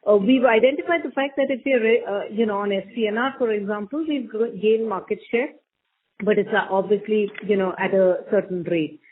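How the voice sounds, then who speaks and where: A woman's voice: mid-range voice, fairly quick. Woman on a tinny phone line. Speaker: senior bank executive answering analysts. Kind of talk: earnings call answers, unscripted. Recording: thin phone conference line.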